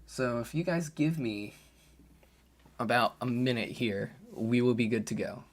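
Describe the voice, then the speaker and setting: Slow-talking, slightly hoarse male streamer. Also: mid-range voice. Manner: relaxed, thinks aloud with frequent fillers. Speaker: game streamer. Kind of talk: casual live-stream chat.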